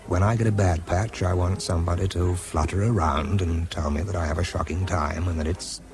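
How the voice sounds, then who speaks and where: Radio drama lead: British wartime bomb expert, low-pitched, self-deprecating, understated, narrating and in dialogue. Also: male voice, steady tone, fairly quick, over music. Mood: self-deprecating, insistent.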